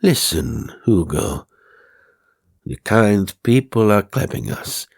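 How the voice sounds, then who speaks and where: A man playing a male stage ventriloquist in a horror audiobook, coaxing and commanding in a measured way. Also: on the low side, expressive, vocal fry, dry recording, clean studio sound, exaggerated voice.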